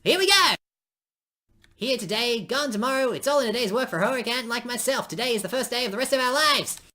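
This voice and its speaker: A woman's voice: on the high side, animated, a little fast, bright, forceful tone, pitched above the performer's own voice. A woman voicing an earnest, melodramatic heroic ant, declaiming every line with big emphasis. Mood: excited, resolute. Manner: declaiming, over-the-top emphatic.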